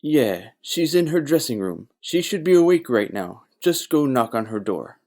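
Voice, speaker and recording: A man's voice: mid-range voice, very animated, normal speed, warm tone. A man playing a naive, gossipy young bartender in a noir audio play, earnest and emphatic.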